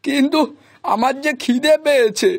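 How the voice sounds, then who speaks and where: A man voicing an eager, hungry little village boy with a high, husky voice in a children's cartoon. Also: moderate pace, dry recording. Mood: pleading.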